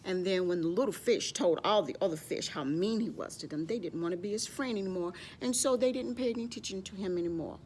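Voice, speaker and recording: A woman's voice: medium pitch, fast talker, few pauses, a little mellow, a little hiss or hum. A woman hosting a children's library storytime, speaking to young children as she tells stories and shows crafts, often referring to herself in the third person.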